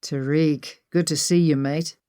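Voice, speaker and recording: A woman's voice: low voice, steady tone, unhurried, warm tone, clear, resonant tone. A woman voicing a confident, teasing male adventurer in a narrated story. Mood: teasing, upbeat.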